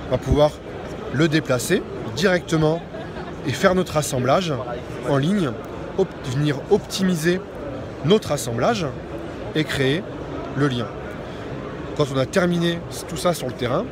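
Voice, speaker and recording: Loud exhibition hall noise surrounds a fairly high-voiced man giving a product demonstration. He explains in an unscripted way, hesitating and often rephrasing as he goes. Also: unhurried, long pauses. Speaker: product presenter at a trade show stand. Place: busy exhibition hall, indoors. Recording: loud trade-show hall noise behind. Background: loud ambient hall noise.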